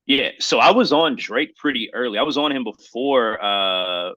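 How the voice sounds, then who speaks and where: Male podcast co-host, thirties. Sharp, forceful tone, slangy emphatic storyteller, close dry recording. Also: medium pitch, lively intonation, normal speed, dry recording. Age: 30s. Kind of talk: casual podcast chat about hip hop.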